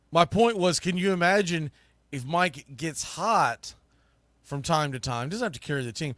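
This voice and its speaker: A man's voice: fairly high pitch, fairly bright tone, no room sound. A man hosting live sports talk, giving opinionated commentary in an emphatic, colloquial, conversational way like a broadcaster. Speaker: sports podcast host and play-by-play broadcaster.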